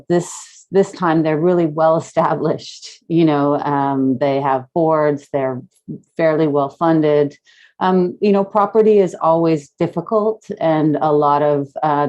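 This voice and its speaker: A woman's voice: low-pitched, a little mellow, muffled recording. A middle-aged woman telling stories casually as a guest in an online talk, reflective and hesitant as she feels her way along. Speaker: guest speaker, gallery curator.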